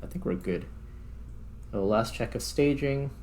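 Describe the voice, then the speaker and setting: A man giving casual gameplay commentary, thinking aloud in a halting, musing way. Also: medium pitch, a little mellow, a little hiss or hum. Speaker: gaming video host.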